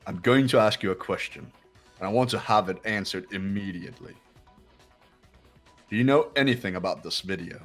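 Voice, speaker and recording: A man's voice: on the low side, a little fast, pauses often, fairly bright tone, soft background music, voice pushed down, close to an everyday voice. A man playing a serious, commanding young man in a game, speaking firmly and formally. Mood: stern.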